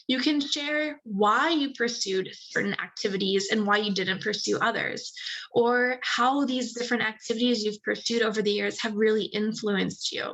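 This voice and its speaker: Admissions lady on a video call webinar, bit high voice, steady, says um a lot. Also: poor audio. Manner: steady explanatory delivery with frequent "um" fillers. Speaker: university admissions representative. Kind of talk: webinar presentation.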